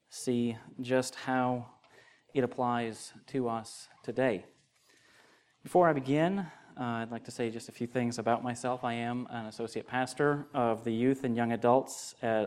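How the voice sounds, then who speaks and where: A young adult man, an associate pastor delivering a sermon and prayer in an earnest, measured way that grows more emphatic. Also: mid-range voice, clean tone.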